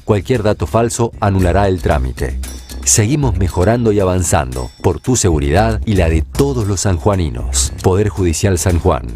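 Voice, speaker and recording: Deep, dark-toned Argentine announcer. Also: male voice, animated, faint music behind. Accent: Argentine Spanish. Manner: measured, formal. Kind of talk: public service announcement voice-over.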